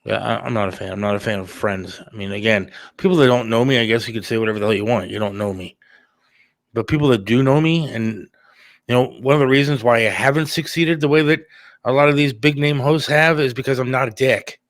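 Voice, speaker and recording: A man hosting a talk show in casual conversation, with hesitant, halting phrasing. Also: medium pitch, no echo, studio quality. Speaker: talk show host, radio producer.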